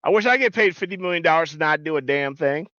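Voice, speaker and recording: A high-voiced, blustery football head coach with a thick Southern accent, as a man acts him out, swaggering and quick to take offense, calling everyone sir. He brags and huffs in a comedic podcast bit. The sound is dull and dry. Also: pushed and bright, no echo. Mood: mocking. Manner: swaggering, indignant, calls people sir. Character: blustery boastful male football head coach, middle-aged. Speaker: podcast co-host in character.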